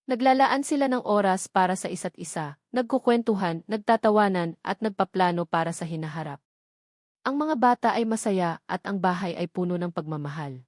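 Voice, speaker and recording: A woman's voice: medium pitch, darkish tone, no echo, clean studio sound. A woman reading a scripted romance story as its narrator.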